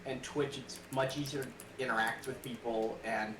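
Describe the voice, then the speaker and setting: A man's voice: medium pitch, steady tone, normal speed. A man with a nasal, stuffy voice chatting casually on a call, rambling with lots of fillers. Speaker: co-host on a call. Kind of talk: casual chat. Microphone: old webcam microphone. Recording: distant echoey webcam mic with noise.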